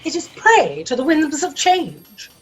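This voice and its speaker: A woman's voice: high voice, very animated, unhurried. A woman playing an exasperated cosmic editor-in-chief in a satirical drama, pompous and scolding. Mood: imperious, exasperated. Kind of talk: sci-fi satire audio drama. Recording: slightly noisy video-call audio.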